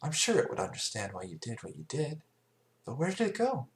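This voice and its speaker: A man voicing a gentle, consoling young female pony in an amateur cartoon fan fiction reading. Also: a little high, wildly swooping pitch, brisk, pitched above the performer's own voice. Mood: soothing.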